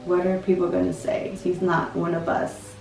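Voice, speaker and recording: A woman in her thirties softly and reflectively telling a personal story. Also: lowish voice, completely flat delivery, normal speed, creaky. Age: 30s. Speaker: interviewee. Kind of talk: personal testimony. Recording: distant, echoey with faint music.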